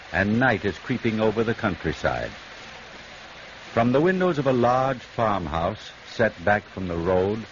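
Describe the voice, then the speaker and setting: A man narrating a vintage radio drama in a solemn, dramatic manner. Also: lowish voice. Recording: muffled, noisy old radio recording.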